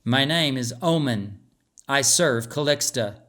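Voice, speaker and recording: A man voicing a male raven courier in a storybook, direct and to the point but gentle and unthreatening. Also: medium pitch, animated, slow pace. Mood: curt, calm. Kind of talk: storybook character dialogue.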